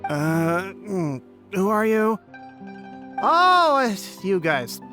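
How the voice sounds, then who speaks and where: Giggly, slow-talking drunk. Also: male voice, sits high, sing-song, pushed and bright, faint music behind, exaggerated voice. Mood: sheepish, woozy. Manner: rambling, exaggerated delivery with gasps and chuckles. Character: tipsy, chatty, jovial male business associate. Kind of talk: video game voice acting.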